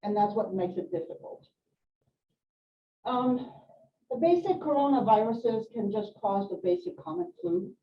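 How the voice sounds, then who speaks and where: A woman's voice: a little high, sing-song, measured pace, pauses often. A middle-aged woman talks through a live presentation as a nurse educator, casual and full of fillers and asides. She is recorded from across an echoey hospital meeting room, so the sound is distant and muffled. A faint noise runs underneath. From Sweden and France. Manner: chatty, informal, many fillers and asides.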